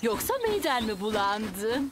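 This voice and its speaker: A woman's voice: a little high, big pitch swings, a little slow. A woman voicing a friendly, obliging rabbit train driver in a children's cartoon.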